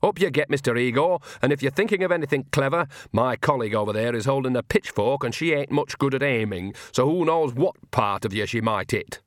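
A man's voice: mid-range voice, a little fast, hardly any pauses, no room sound, clean studio sound, pitched above the performer's own voice, natural voice. A man voicing a brash, cheerful old witch woman who gives orders bluntly in a storybook reading. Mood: commanding. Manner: breezy, blunt and commanding.

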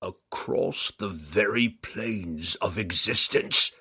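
Guy doing a deep slow growly demon lord, husky and mean, audiobook voice. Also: sharp tone, heavily acted. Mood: menacing. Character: cruel hulking male demon lord. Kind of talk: audiobook character voice.

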